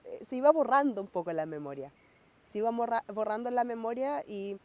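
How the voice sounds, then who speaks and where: A woman's voice: on the high side, expressive, fairly quick, warm tone. A woman raised in Norway, reflecting in a documentary interview and speeding up when she quotes her parents.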